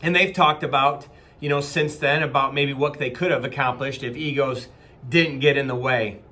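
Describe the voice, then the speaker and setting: A man's voice: mid-range voice, steady tone, normal speed, bright, forceful tone. A man in his forties, a company leader, giving an earnest, casual motivational talk from a small office with many fillers and restarts. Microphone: webcam at desk distance.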